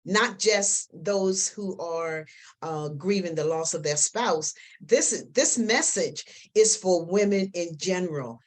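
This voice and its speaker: A woman hosting an interview, encouraging and affirming her guest with lively exclamations. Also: lowish voice, slow. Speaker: host. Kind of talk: casual interview.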